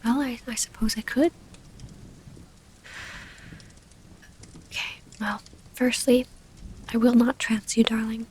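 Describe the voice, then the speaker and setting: A woman playing a devoted, gently predatory vampire lover in a soothing, breathy half-whisper for an intimate ASMR roleplay. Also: on the high side, fairly even intonation, normal speed, natural voice. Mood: hesitant, tender. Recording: close-up with rain ambience, faint noise.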